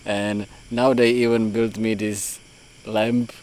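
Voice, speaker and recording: A man talking casually and unscripted as a travel vlogger, haltingly thinking aloud as he goes. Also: fairly low pitch, flat delivery, a little mellow. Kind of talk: casual, unscripted vlog talk. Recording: noisy outdoor and in-car vlog audio.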